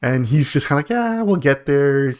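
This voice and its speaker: A man's voice: medium pitch, lively intonation, normal speed, over a noisy crowd. A man co-hosting a podcast, chatting casually about politics in a slangy, joking way. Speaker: podcast co-host.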